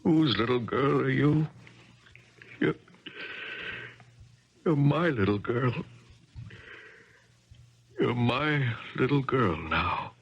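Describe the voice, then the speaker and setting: A man's voice: medium pitch, expressive, drawn-out words, pauses often, slightly rough, full character voice. An actor playing a pushy, uneducated father who coaches his child in tennis, in a 1970s radio drama. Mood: tearful, tender. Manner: barking, bossy, blunt working-class speech. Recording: dull archival 1970s radio broadcast.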